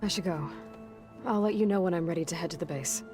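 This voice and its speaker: A woman acting a young warrior heroine in a video game. Her voice sits fairly low, measured and sincere, with guarded care and gentle wryness, and music plays clearly behind her. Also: lightly acted. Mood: sober, resolved. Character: young warrior heroine, earnest, dry-witted, guarded but caring.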